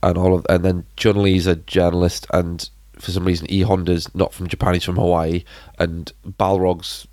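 A man's voice: deep voice, little pitch movement, moderate pace, few pauses, a little mellow, no echo. A man in his thirties co-hosting a casual podcast chat, congested from hay fever, rambling with many fillers and restarts. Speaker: podcast co-host.

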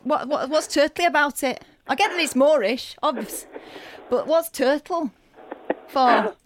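A woman's voice: sits high, a little slow, a little hiss or hum. A woman voicing a chatty, muddled middle-aged Yorkshire tourist board woman on a prank call, rambling and blunt. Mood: puzzled. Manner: rambling, nosy, confused and blunt.

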